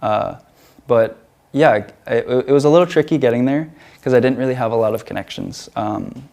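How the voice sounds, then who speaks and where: A man's voice: mid-range voice, fairly quick, gravelly, mellow. A young man from the United States, a featured artist answering interview questions in a hesitant way, with many fillers and restarts.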